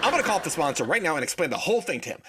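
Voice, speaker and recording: A man's voice: a little high, big pitch swings, very fast, crowd noise, noisy background. A man playing an exasperated, anxious straight man in 1940s-style radio comedy, speaking emphatically.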